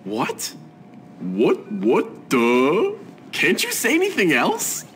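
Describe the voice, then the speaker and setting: An actor playing a smug, manipulative teenage schoolboy in a video game, with an American accent and a sarcastic, theatrical way of taunting. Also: male voice, on the high side, huge pitch swings, slow pace, fairly bright tone, soft background music, exaggerated voice. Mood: mocking. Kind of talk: video game cutscene acting.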